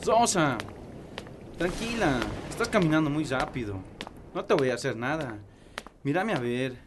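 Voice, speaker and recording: A man's voice: a little high, animated, unhurried. Man acting a cocky Bolivian teenage boy. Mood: coaxing. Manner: taunting, insistent. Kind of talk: radio drama acting. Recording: noisy outdoor street ambience.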